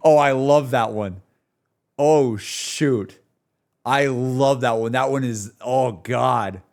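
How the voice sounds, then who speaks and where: A man's voice: medium pitch, slow pace, creaky, a little mellow. A man streaming casual, slangy, exclamatory reactions to a game as he talks to his viewers.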